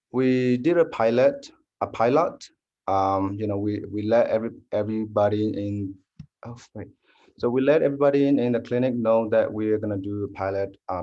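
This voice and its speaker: A man from Burma whose first language is Po Karen, speaking as a dental health navigator on a panel, halting with many restarts. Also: lowish voice, a little mellow, dull audio. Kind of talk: panel discussion.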